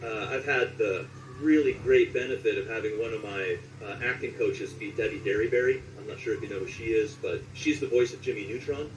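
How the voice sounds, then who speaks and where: A man's voice: lowish voice, fairly quick, hardly any pauses. A man in his late forties speaking as a voice actor being interviewed, telling stories in a conversational way. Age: late 40s. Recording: roomy echo, distant mic, clear background noise.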